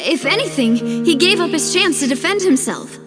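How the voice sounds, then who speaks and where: An actress playing a young female syndicate boss in a video game, composed, earnest and guarded, speaking in a measured, polite way. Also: very high voice, lively intonation. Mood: somber, earnest. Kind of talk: video game cutscene acting. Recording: long reverb, music behind.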